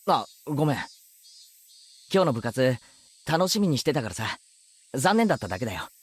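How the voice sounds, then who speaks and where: A man's voice: medium pitch, sing-song, rapid-fire. A man playing a casual teenage schoolboy in a Japanese visual novel, youthful and informal in his delivery. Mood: apologetic. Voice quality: youthful, boyish. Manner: informal, rough boyish speech.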